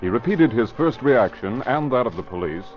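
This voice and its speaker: A man's voice: mid-range voice, lively intonation, moderate pace, soft background music. A man narrating an old-time radio mystery in a dramatic scripted style. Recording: muffled vintage radio recording.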